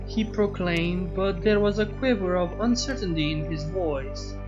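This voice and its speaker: Male story narrator, very slow pace, muffled mic, faint music behind. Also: high-pitched, darkish tone. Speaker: fan fiction narrator.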